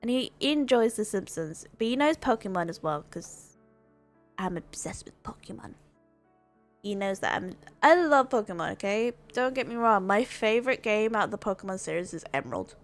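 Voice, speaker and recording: A woman's voice: medium pitch, lively intonation, normal speed, soft background music. A woman livestreamer chatting casually in a hesitant, rambling way, often repeating and rephrasing herself. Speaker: livestreamer and gamer.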